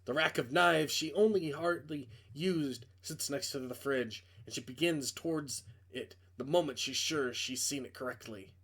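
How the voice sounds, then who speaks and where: Male narrator, fairly high voice, dramatic reading of visual novel prose. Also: fairly bright tone, slightly rough.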